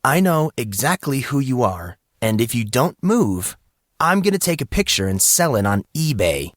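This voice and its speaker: A flustered teenage boy, played by a male narrator, awkward and exasperated in an audio story. He leans on dry sarcasm, with lively swings in pitch and a close, dry sound. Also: moderate pace, no room sound, lightly acted. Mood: exasperated, sarcastic.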